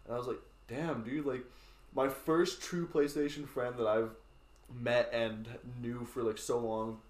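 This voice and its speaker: A man's voice: medium pitch, steady tone, a little slow, darkish tone, roomy sound. A young man casually telling a long story among friends, laid back and swearing a lot, with plenty of filler words. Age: young adult.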